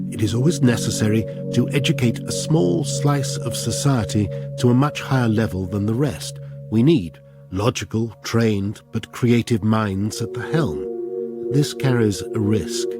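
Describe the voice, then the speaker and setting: Low-pitched, reflective male narrator. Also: unhurried. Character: reflective, knowing male intellectual narrating events. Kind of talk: dramatic first-person narration. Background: music.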